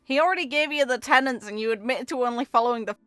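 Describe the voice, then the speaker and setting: A woman giving casual, off-the-cuff reaction commentary as a gaming video host, informal and expressive. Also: fairly high pitch, very fast, soft background music.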